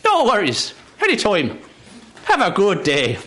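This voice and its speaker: A man's voice: sits high, huge pitch swings, a little slow, heavily acted. A man playing a chatty, teasing country farmer with a stage Irish accent in a radio play. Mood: genial, rambling. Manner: folksy, rambling, teasing. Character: chatty genial country farmer on a horse cart. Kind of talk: live radio theatre. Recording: hissy live radio studio recording.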